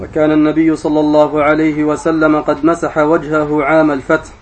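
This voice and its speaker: Guy reading religious text aloud, flat drawn-out recitation, kinda dull lo-fi audio. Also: fairly high pitch, slight background noise. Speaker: student reciter of hadith texts. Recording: dull, muffled sound.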